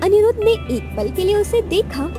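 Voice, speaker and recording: A woman narrating a scripted romantic story as an expressive storyteller. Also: very high voice, normal speed, music in the background.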